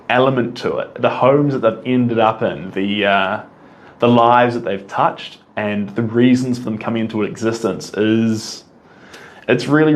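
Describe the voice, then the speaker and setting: A young man with a New Zealand accent, an artist casually answering viewer questions, rambling enthusiastically with frequent ums and restarts. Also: fairly low pitch. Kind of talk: casual Q&A monologue.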